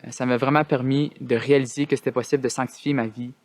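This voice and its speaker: A man's voice: mid-range voice, steady tone, fast. Earnest young Canadian French man. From northern Ontario, Canada. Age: young adult. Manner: earnest, hesitant with frequent "euh" fillers and restarts. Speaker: student resident giving a testimonial. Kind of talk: personal testimonial.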